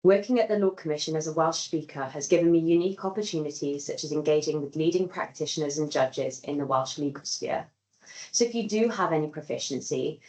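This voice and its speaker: Woman, very low pitch, clear, scripted, webinar presenter. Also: a little mellow, low-quality recording.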